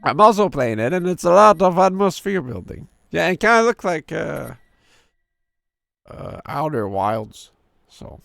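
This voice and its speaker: A hoarse man in his thirties with a sore throat, streaming a game and reading its story text aloud in a deeper storyteller voice. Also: big pitch swings, unhurried, fairly bright tone, dry recording, studio quality. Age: 30s. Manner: drops into a deep storyteller voice for the narration.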